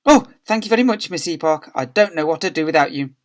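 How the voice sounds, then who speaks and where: A man's voice: a little high, big pitch swings, normal speed, fairly bright tone, pitched above the performer's own voice. A man voicing a kindly, doddery and very old headmistress from a children's story, cheerful and polite. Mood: grateful, cheery.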